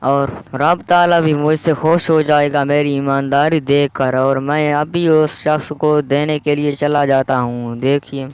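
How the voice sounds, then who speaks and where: A man's voice: a little high, flat delivery, measured pace, few pauses, clear, resonant tone, no room sound, close to an everyday voice. A man playing an honest, humble rickshaw driver in a cartoon story, speaking earnestly and politely. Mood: earnest, willing.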